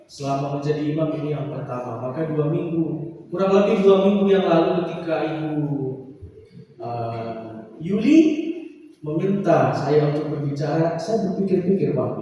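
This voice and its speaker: Young priest, chatty and self-joking. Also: male voice, a little high, mellow, a little hiss or hum. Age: 20s. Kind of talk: prayer-meeting talk. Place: church hall. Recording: roomy hall reverb, distant mic.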